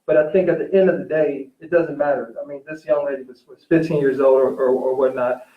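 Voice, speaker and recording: A man in a casual discussion, agreeable, choosing his words in a measured, somewhat hesitant way. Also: mid-range voice, fairly even intonation. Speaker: teacher, talk show guest or co-host. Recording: roomy, dull, distant-mic recording.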